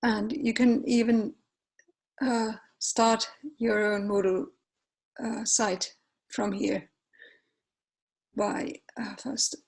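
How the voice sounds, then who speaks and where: A woman in her forties, a native Finnish speaker with a Finnish accent, walks through a software tutorial while sharing her screen, often pausing with fillers and correcting herself. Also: on the high side, flat delivery, creaky, slightly rough, poor audio. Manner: unhurried, hesitant, many fillers and self-corrections. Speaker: tutorial presenter.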